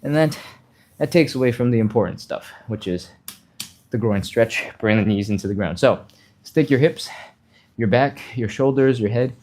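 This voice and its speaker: Mellow, slightly nasal man coaching stretches. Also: mid-range voice, moderate pace. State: mild cold, a little sniffly. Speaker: fitness instructor, livestream host. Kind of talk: casual live stretching instruction.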